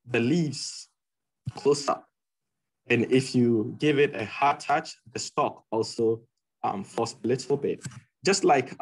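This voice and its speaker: A young man from Ghana tells his story with eager enthusiasm. His voice sits at an ordinary middle pitch and comes through a video call. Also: slightly rough, poor audio. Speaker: PhD student panelist. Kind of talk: presentation over video call.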